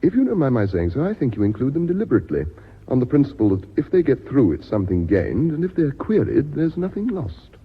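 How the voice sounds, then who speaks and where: An actor playing a stubborn, punctilious British accountant with formal, precise manners in a radio play. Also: male voice, medium pitch, big pitch swings, quick pace, slightly rough, full character voice. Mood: insistent. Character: stubborn, punctilious, polite male company accountant. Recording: muffled lo-fi radio drama recording.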